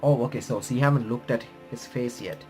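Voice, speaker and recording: A man reading visual novel text aloud with bits of his own commentary, halting and correcting himself as he goes. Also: mid-range voice. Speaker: gaming reaction streamer. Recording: music playing behind the voice. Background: game music.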